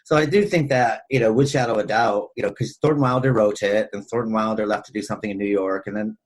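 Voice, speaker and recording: A man with a husky voice talking casually and ramblingly as a podcast host discussing film. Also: lowish voice, steady tone, quick pace.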